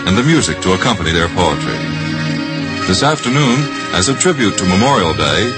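A man with an American accent narrating formally and solemnly as a 1950s radio announcer. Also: on the low side. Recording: 1950s radio broadcast with faint music.

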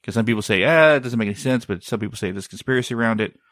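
A man's voice: mid-range voice, very fast, fairly bright tone, no room sound, studio quality. A man hosting a casual chat, rambling and often searching for the right word.

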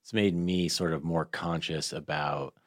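A man with a deep voice interviews in a casual way, recorded very dry. He speaks haltingly, hedging and circling as he builds toward his point. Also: steady tone, no room sound. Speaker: interviewer, podcast host.

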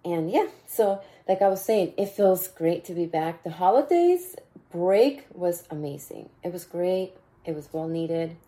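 A raspy-voiced woman hosts her podcast in an unhurried, easygoing way. Her voice sits on the low side, and she often repeats a phrase as she coaches her listeners. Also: lively intonation. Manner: warm mentoring tone, repeats phrases, bursts of laughter. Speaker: podcast host. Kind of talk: casual motivational podcast talk.

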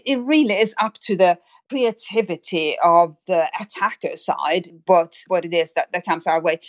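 Woman from Sweden, lower voice, expert commentator in interview, explanatory delivery, dry close recording. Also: no room sound. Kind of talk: analytical interview answer.

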